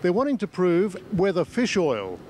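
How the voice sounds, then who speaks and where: A man's voice: high-pitched, wildly swooping pitch, normal speed. A man working as a news reporter, interviewing people on location. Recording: slight background location noise.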